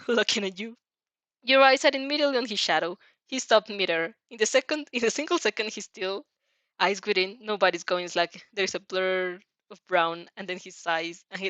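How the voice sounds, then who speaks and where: A woman's voice: mid-range voice, a bit bright, dry recording. A woman reading fan fiction aloud with drama, often stumbling over words and breaking into giggles. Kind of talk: animated dramatic reading of a story with giggly asides.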